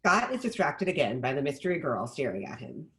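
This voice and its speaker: Lively, very varied male narrator. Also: a little high, brisk, poor audio. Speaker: narrator reading stage directions. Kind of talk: scripted narration.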